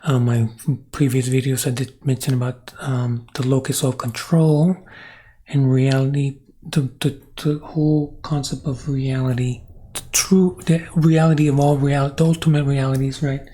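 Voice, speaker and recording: Guy who grew up speaking spanish, rambling on his channel, lots of "right?", soft dark raspy voice. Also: medium pitch, flat delivery, normal speed. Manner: rambling, thinking aloud with many restarts and "right?". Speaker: channel host. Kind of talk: reflective monologue.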